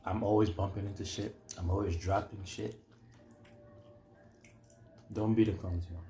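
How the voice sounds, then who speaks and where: A man's voice: lowish voice, steady tone, moderate pace, dark tone. A young man chatting casually over a meal as a guest on a vlog. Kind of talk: casual chat while eating. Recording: roomy echo, clattering dishes noise.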